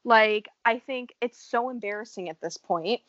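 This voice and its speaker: A woman's voice: on the high side, moderate pace, fairly bright tone, dry recording, dull audio. A woman from the United States in casual, blunt and profane chat, laughing often. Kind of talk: casual chat about a reality show.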